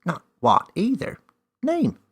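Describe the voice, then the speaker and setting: A man's voice: high voice, huge pitch swings, slow, slightly rough, pitched above the performer's own voice, exaggerated voice. A man voicing a tiny, cheeky elf in a children's story, friendly and bossy, with a teasing, theatrical manner.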